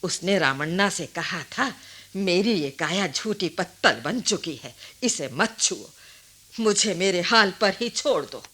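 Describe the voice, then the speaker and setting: A woman playing a wise, blunt, compassionate old village grandmother in a radio play, with a husky voice. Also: lowish voice, expressive, slow pace, a bit bright, exaggerated voice. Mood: knowing, tender. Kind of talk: Hindi radio play acting.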